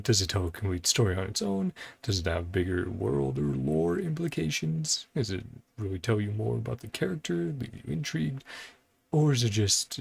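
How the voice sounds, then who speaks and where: A man's voice: on the low side, vocal fry, husky, dry recording. A man hosting a reaction series, chatting casually and thinking aloud in loose, informal asides. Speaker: host of a video reaction and ranking series.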